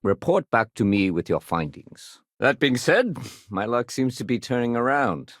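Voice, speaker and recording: A man's voice: medium pitch, very animated, moderate pace, no room sound, studio quality, close to an everyday voice. A man voicing a dutiful, weary security sergeant in a sci-fi video game, speaking in a clipped, businesslike but conversational way. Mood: casual, easygoing. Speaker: security officer. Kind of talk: video game dialogue acting.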